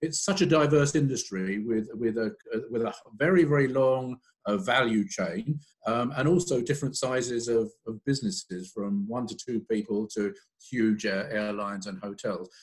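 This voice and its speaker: A man's voice: fairly low pitch, normal speed. A man in his sixties explaining points on an online panel over a video call, often repeating phrases. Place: room at home or office, video call.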